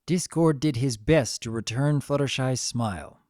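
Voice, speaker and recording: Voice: mid-range voice, a little slow, a little mellow, no room sound, clean studio sound. A narrator giving a dramatic reading of a story in a scripted storytelling style.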